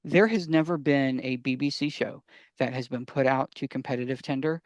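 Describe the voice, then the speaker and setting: A man's voice: mid-range voice, normal speed, no echo. A man co-hosting a casual podcast, explaining things emphatically and often posing questions that he answers himself. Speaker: podcast co-host.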